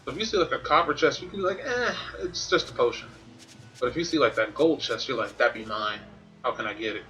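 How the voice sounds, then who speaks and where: A man's voice: mid-range voice, animated, quick pace, sharp tone, lo-fi sound. A man doing casual game commentary, musing playfully to himself and reading out what is on screen. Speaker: gaming streamer playing and commenting. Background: game music.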